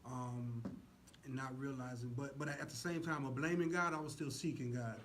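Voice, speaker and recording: A man's voice: medium pitch, steady tone, moderate pace, a bit bright, slight background noise. A man speaking as a show guest, giving casual, preacher-like testimony, earnest and full of rhetorical questions. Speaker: stage actor, show guest.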